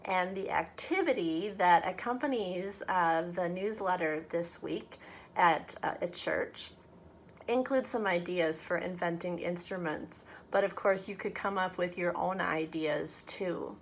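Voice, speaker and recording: A woman from a church talking to children and reading a storybook aloud in a deliberate, expressive way. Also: on the low side, slight background noise. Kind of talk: children's read-aloud and friendly talk to kids.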